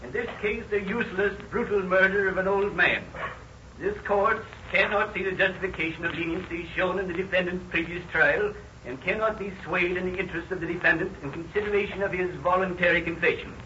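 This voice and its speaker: Stern judge, echoing and high. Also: male voice, brisk, pushed and bright, dull audio. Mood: stern, condemning. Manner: formal, declamatory, severe. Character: stern male judge pronouncing a harsh sentence. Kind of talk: 1930s radio drama acting. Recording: distant, echoey, noisy old radio recording.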